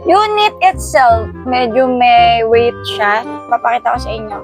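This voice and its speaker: A woman's voice: a little high, unhurried, music in the background. A Filipino woman talking casually and playfully to viewers as she presents a product in an unboxing vlog. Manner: playful, giggly, chatty with exclamations. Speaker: vlogger unboxing a motorcycle intercom.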